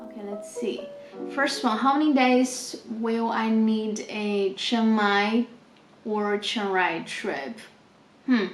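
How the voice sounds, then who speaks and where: Woman, 20s, native Chinese speaker from China, easygoing vlog Q&A in a roomy space. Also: medium pitch. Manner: chatty, reads questions aloud then answers with laughs. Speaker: travel vlogger. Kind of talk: casual unscripted Q&A.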